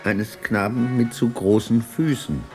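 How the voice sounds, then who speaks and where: An actor playing a somber man who recounts an intimate memory in terse, measured fragments in a literary radio play. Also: mid-range voice, fairly even intonation, close to an everyday voice. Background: soft plucked guitar music.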